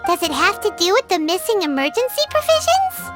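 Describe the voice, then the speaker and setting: A woman voicing a small, curious, chatty childlike fairy companion with a squeaky voice in a video game. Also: sing-song, a little fast, music in the background. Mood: puzzled. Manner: refers to self by name, inquisitive. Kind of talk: video game cutscene dialogue.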